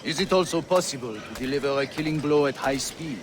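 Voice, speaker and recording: An actor playing a suave, genteel French arms smuggler with a theatrical, relishing delivery in a video game. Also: male voice, medium pitch, expressive, brisk, very noisy, lightly acted. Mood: admiring. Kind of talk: video game cutscene acting.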